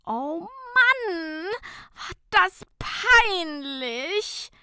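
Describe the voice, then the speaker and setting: A woman's voice: very high voice, big pitch swings, extremely slow, pushed and bright, no echo, studio quality, voice pushed up, exaggerated voice. A woman voicing a flustered, giggly and impulsive young woman in a humorous audiobook, stammering and exclaiming. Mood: wailing. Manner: stammering, exclaiming, laughter-laced. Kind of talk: humorous audiobook character dialogue.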